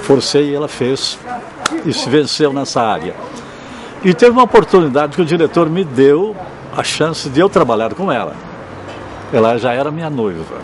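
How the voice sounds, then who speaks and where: A man's voice: a little high, big pitch swings, moderate pace. An older man, a former radio drama actor, reminiscing in an interview. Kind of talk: nostalgic interview recollection.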